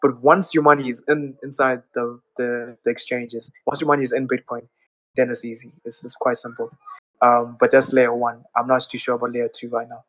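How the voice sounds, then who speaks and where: A man talks in a steady, flat tone, earnestly walking through his points one at a time. His voice sounds dry and close, with little room around it, and sits in a middle register. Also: medium pitch, brisk, no echo, rough recording. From Zimbabwe. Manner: earnest, explaining step by step. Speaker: audience speaker. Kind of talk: casual live audio chat.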